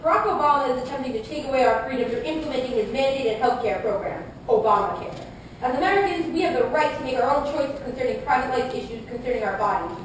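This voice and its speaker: A woman's voice: animated, fast talker. A woman playing a combative male conservative presidential challenger, making accusatory scripted speeches in a puppet show debate. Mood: indignant. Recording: echoey room, distant mic, rumbling noise.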